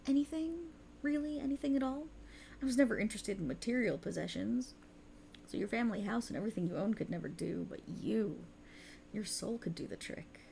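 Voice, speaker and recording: A woman's voice: mid-range voice, expressive, a little fast, creaky, dark tone, a little hiss or hum. A woman voicing a sultry, cruel and mocking otherworldly warlock patron in a theatrical ASMR roleplay, teasing and condescending. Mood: seductive, teasing.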